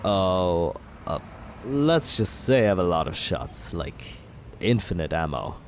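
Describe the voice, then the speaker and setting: A man in an ASMR roleplay as a villain, talking smoothly and persuasively in a teasing, flirtatious way. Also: low-pitched, very animated, measured pace, a little hiss or hum.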